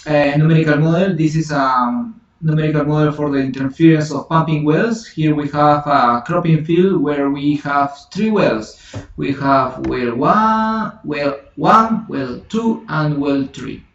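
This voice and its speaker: A man whose first language is Spanish, teaching a software tutorial in a halting way, thinking aloud with many restarts and self-corrections. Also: fairly high pitch. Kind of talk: screen-recorded software tutorial. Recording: roomy, distant, dull lo-fi home recording.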